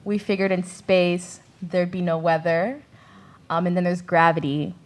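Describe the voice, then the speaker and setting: A woman's voice: on the low side, steady tone, measured pace. A young woman, a student performer, chatting casually in an interview after a show. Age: young adult. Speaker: student radio theater performer.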